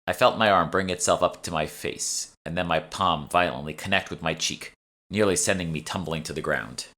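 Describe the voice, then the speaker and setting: A man narrates a first-person fantasy story in a deep register. His tone is bright and forceful, and he reads as an author would from his own scripted book. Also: normal speed. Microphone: close USB studio microphone.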